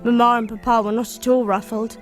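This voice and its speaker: A woman playing a spirited, anxious young Victorian girl in a radio drama, well-spoken and expressive with an English accent. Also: a little high, fairly quick, soft background music, lightly acted. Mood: narrating. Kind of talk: 1990s radio drama acting and narration.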